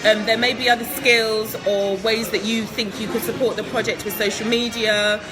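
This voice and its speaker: A woman's voice: fairly high pitch, little pitch movement, moderate pace, low-quality recording. A woman from England, answering interview questions in a chatty, upbeat way, laughing often and filling with ums. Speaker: guest entrepreneur.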